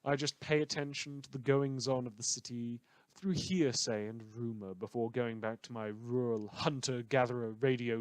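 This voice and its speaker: A man's voice: medium pitch, no room sound, close to an everyday voice. A man playing a wry, rambling late-night rural radio host in an audio drama, theatrical and sarcastic. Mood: weary, wry.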